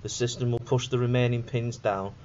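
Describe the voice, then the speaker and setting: A man's voice: medium pitch, fairly even intonation, brisk, a little mellow. A young man reading scripted narration for a student documentary in a plain, instructional way. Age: young adult. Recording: dull lo-fi voice-over with faint noise.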